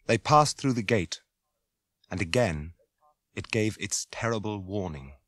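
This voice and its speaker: A man with a British accent giving grave, measured, solemn narration for a radio drama. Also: fairly low pitch, lively intonation, a bit bright, no room sound, clean studio sound.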